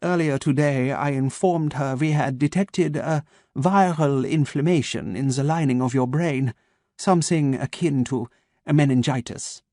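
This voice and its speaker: Man putting on a cold calculating scientist, crisp German accent, slow clipped delivery, dry close sound. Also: medium pitch, darkish tone, no echo, natural voice. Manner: slow, measured, coldly formal. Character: cold calculating male scientist, middle-aged.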